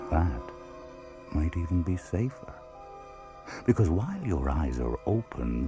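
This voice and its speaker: Eerie radio drama host: very deep man's voice, slow and portentous, over music. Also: heavily acted. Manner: deliberate, portentous. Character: eerie, knowing male host of uncanny tales. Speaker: host and narrator of a radio anthology series. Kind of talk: slow, ominous scripted radio drama narration. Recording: music behind the voice.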